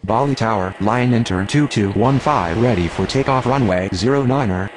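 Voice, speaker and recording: Against steady engine noise, a man acts a calm male air traffic voice on the low side. He delivers scripted aviation calls in a clipped, matter-of-fact way, as in a simulation game. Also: moderate pace, lightly acted. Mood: calm, procedural. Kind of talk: scripted aviation radio phraseology.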